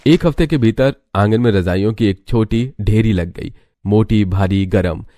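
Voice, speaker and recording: A man's voice: lowish voice, unhurried, dark tone, no echo, clean studio sound. A man reading scripted storytelling narration for a cartoon.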